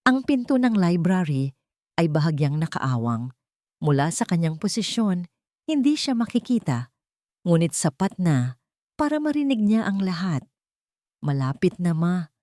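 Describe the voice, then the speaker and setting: A woman narrates a dramatic story in a lowish, very lively voice. She sets out each short phrase deliberately. The sound is dry and close. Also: no room sound.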